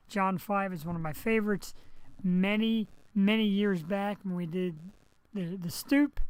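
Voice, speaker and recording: Hoarse, raspy male webcast host. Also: high voice, dry recording, rough recording. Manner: rambling and chatty, talking straight to viewers. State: hoarse after surgery. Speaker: talk show host. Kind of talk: casual live webcast monologue. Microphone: headset.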